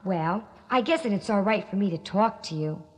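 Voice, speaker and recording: A woman's voice: medium pitch, lively intonation, moderate pace, a little mellow, voice pushed down, lightly acted. A woman voicing a cheerful, trusting young girl with a sweet, innocent delivery. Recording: echoey recording.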